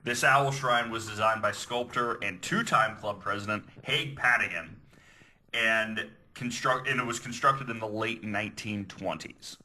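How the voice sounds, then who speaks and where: A man's voice: on the low side, unhurried, pushed and bright, low-quality recording. A man hosting a podcast, earnestly explaining researched material and sometimes stumbling over his words. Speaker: podcast host. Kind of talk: reading researched notes, explanatory podcast talk.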